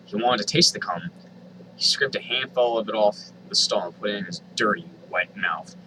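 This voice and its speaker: A man's voice: lowish voice, moderate pace, sharp tone, a little hiss or hum, rough recording. A man reading a story aloud dramatically, with exasperated, sardonic asides. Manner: exasperated, sardonic, swears freely. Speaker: reader of online fan fiction.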